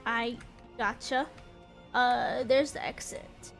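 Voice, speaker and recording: A woman's voice: a little high, fairly even intonation, takes it slowly, creaky, faint music behind. A young woman chatting casually while streaming a game, giggly and rambling, with a stuffy, congested nose. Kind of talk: casual gameplay chat.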